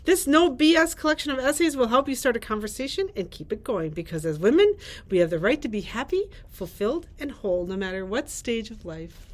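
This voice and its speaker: A woman's voice: on the high side, very animated, brisk. A middle-aged woman, a librarian, presenting book picks and reading blurbs aloud into a camera in a library. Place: library room with bookshelves.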